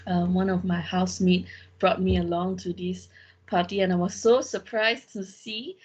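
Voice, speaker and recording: A woman's voice: lowish voice, steady tone, normal speed, darkish tone, dull audio. A young adult woman from Malaysia with a Malaysian accent, co-hosting a podcast in casual chat. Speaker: podcast co-host.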